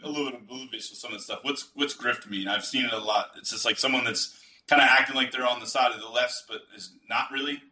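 Male podcast host, quick and very bright, sharp voice, informal and joking, slightly off-mic. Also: mid-range voice, fairly even intonation. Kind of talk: casual chat, asking questions. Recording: roomy echo, distant from mic.

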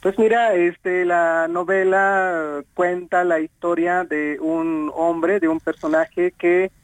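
A man from Chiapas whose first language is Tzotzil, speaking by telephone as a novelist guest, measured with frequent fillers. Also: high voice, flat delivery, clear, resonant tone, no room sound. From Chiapas, Mexico. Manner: measured, uses many fillers.

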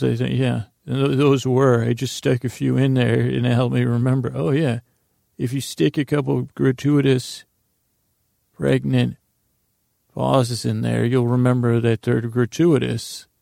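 Gravelly rambling man, sleepy drawl. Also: mid-range voice, mellow, no room sound, studio quality. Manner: meandering, halting, many restarts and drawn-out pauses. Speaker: sleep podcast host. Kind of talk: rambling bedtime monologue.

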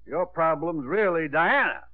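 A man's voice: on the high side, expressive, measured pace. An actor playing a blunt, nosy old grandfather with a dry, needling manner in a 1950s radio drama. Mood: wry. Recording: narrow, tinny 1950s radio broadcast.

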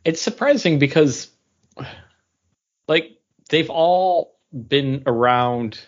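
A man co-hosting a casual podcast, speaking in a halting, hesitant way as he works out his sentences. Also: on the high side. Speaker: podcast co-host. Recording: slightly muffled, lo-fi audio.

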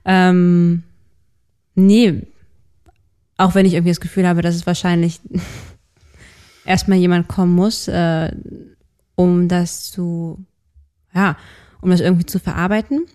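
A woman's voice: on the low side, little pitch movement, slow, pauses often, mellow. A woman in her early thirties talking candidly in a podcast conversation, hesitant, with many fillers and restarts. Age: 30s. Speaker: podcast co-host.

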